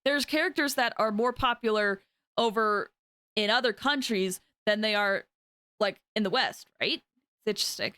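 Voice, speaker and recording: A woman's voice: mid-range voice, normal speed, sharp tone, smooth, no room sound. A woman chatting casually and animatedly on a game livestream, rambling as she talks to viewers.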